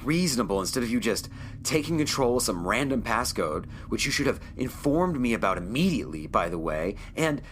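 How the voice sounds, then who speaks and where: A man's voice: mid-range voice, big pitch swings, a bit bright, a little hiss or hum. Man playing a stern, protective ship's captain. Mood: annoyed. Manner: firm, measured, commanding. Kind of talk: scripted audio drama acting.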